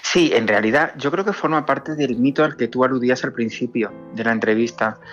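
A man's voice: medium pitch, brisk, a bit bright. Spanish man interviewed, slightly dull sound over music. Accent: Spain. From Andalusia, Spain. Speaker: film director being interviewed. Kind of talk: conversational interview answers.

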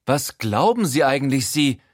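A man's voice: sing-song, a little slow, sharp tone, dry recording, clean studio sound, full character voice. A man voicing a haughty, easily offended wealthy woman in an audiobook, formal and indignant. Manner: formal, offended, shrill when upset.

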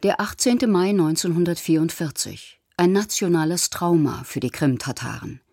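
Low-voiced woman narrating a news report. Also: a little mellow, dry recording, studio quality. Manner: measured, matter-of-fact. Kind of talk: scripted news documentary narration. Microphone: studio voice-over.

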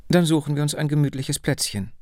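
A man's voice: a little high, steady tone, brisk, darkish tone, dry recording, studio quality, pitched above the performer's own voice, lightly acted. A male narrator voicing a polite, mildly sceptical interviewer in his own near-usual voice. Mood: amiable.

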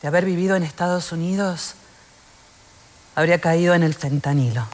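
An actress playing an anxious, self-deprecating woman of forty in a slow, deliberate theatre monologue. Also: lowish voice, lightly acted. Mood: despairing, wry.

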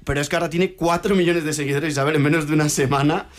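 Fast-talking chatty man. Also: on the high side. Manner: chatty, animated storyteller. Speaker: radio show co-host. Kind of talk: casual chat.

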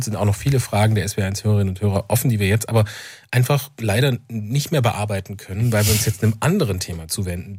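A man's voice: fairly low pitch, darkish tone, slightly rough, no echo. A man hosting a radio interview, speaking into a studio microphone. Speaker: radio host.

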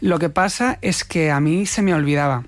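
A young man from Spain, an actor, chatting casually as an interview guest, quick and animated, laughing often and restarting his phrases. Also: fairly high pitch, soft background music.

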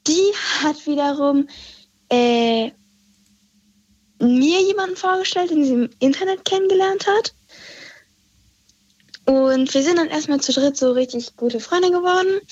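A young woman calling in to a radio show, hesitantly telling a personal story with many pauses and fillers. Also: high-pitched, no echo. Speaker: caller. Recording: dull lo-fi phone line.

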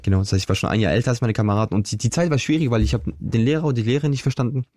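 A man's voice: mid-range voice, very fast, darkish tone, no room sound, clean studio sound. A young man, an interview guest, telling his life story earnestly and reflectively, with frequent fillers and restarts. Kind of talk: casual conversational storytelling.

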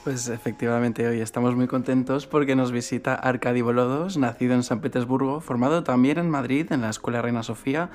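A man's voice: mid-range voice, fairly even intonation, a little fast, few pauses, darkish tone. Man presenting a classical radio show. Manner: formal, welcoming announcer delivery. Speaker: radio host.

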